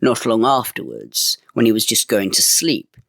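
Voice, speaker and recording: A man's voice: lowish voice, very animated, normal speed, gravelly, fairly bright tone, no room sound. A man reading a fairy tale aloud in a dramatic, old-fashioned storytelling manner.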